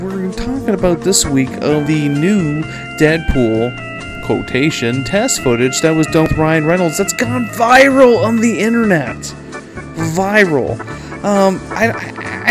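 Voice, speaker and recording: Higher-pitched man hosting a casual podcast. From Canada. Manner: rambling, informal. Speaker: podcast host. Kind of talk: casual solo commentary on pop culture.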